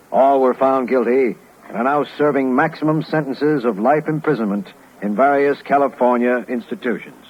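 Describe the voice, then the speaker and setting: A muffled vintage radio recording holds an American man narrating in a formal, factual manner. His medium-pitched voice reads a scripted summary in the style of a 1950s police official on the air. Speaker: police lieutenant giving a case epilogue.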